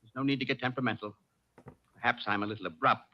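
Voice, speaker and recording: A man's voice: mid-range voice, steady tone, rapid-fire, lightly acted. A man playing an impatient, worried client in an old radio drama, speaking curtly and brusquely. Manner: curt, brusque. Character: impatient, worried, abrupt middle-aged male client. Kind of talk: 1940s radio acting. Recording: dull lo-fi old radio recording.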